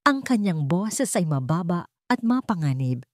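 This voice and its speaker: A woman with a Filipino accent reads a scripted dramatic story in a measured, deliberate way. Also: lowish voice, sing-song, no room sound, studio quality. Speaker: narrator.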